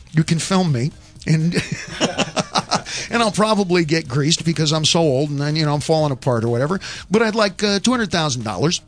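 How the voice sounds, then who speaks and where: A man's voice: on the high side, fairly bright tone. Wry argumentative man hosting radio. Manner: argumentative, rambling, wry asides. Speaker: radio show host. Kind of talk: call-in radio talk.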